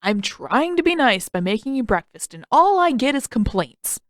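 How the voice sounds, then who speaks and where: A woman's voice: high-pitched, big pitch swings, normal speed, dry recording, studio quality, pitched above the performer's own voice. A woman voicing an indignant, boastful and defensive teenage boy in an audiobook, protesting with emphasis. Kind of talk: audiobook character voice.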